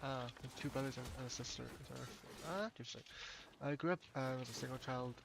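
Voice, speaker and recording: A young man chats with his viewers while gaming, easygoing and often laughing. His voice has a gravelly edge, and a steady wash of game noise sits behind him. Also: medium pitch, fast. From Hong Kong. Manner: chatty, laughs often, restarts words. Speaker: game streamer. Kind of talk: casual gameplay chat with viewers.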